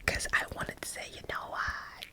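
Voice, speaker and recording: A woman's voice: normal speed, pushed and bright. Whispering woman in her forties. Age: 40s. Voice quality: unvoiced whisper throughout. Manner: candid, frank, laughs at herself, many asides. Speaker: YouTuber telling a story. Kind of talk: whispered ASMR storytime.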